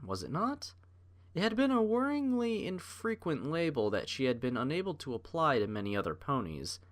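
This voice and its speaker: A man narrating a scripted audiobook in a theatrical, measured storytelling manner. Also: mid-range voice, fairly bright tone.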